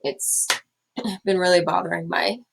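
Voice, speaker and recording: A woman's voice: lowish voice, flat delivery, unhurried, vocal fry, breathy edge. A young woman teacher giving a recorded math lecture, her voice a little scratchy, explaining steadily with some fillers.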